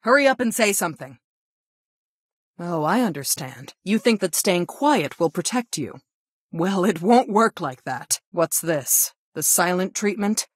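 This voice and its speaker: Strict female school counselor for a game, lowish voice, formal and scolding, really dry sound. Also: big pitch swings, slightly rough, dry recording, full character voice. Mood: reproachful. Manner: formal, scolding, deliberate phrasing. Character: stern, reproachful female school guidance counselor. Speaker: video game voice actor. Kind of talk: scripted game character dialogue.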